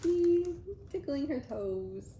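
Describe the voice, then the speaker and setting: A woman reading a picture book aloud as a mother to a toddler, in an expressive, dramatic storytime style. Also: high-pitched, slow, a little hiss or hum. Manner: dramatic, child-directed.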